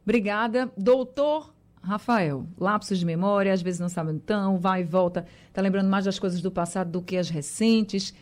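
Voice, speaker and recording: A woman's voice: mid-range voice, normal speed, a little mellow. Woman radio host running call-ins.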